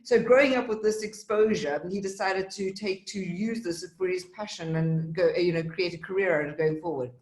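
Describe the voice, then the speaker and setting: A woman's voice: fairly low pitch, fairly even intonation, normal speed, few pauses, a little mellow. Woman hosting a panel, roomy echoing sound. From Africa. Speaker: panel host. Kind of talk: semi-scripted introductions of speakers.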